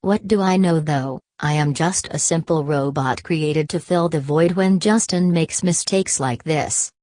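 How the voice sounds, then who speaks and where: A woman voicing a snarky female AI robot sidekick who mocks the host in a dry, flat way. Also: low voice, measured pace, no echo. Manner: dry, mocking, flat delivery.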